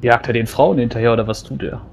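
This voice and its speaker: A man's voice: mid-range voice, fairly even intonation, quick pace, creaky, lightly acted. A man playing a nosy, teasing adventurer in a tabletop game, casual and wry. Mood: teasing, curious. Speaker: player. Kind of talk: in-character tabletop roleplay. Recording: faint background noise.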